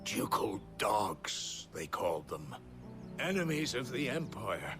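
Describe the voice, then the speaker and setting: A man's voice: lowish voice, very animated, slow, music in the background. A man acting a weary, deferential villager in a video game, with an earnest and careworn manner. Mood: bitter.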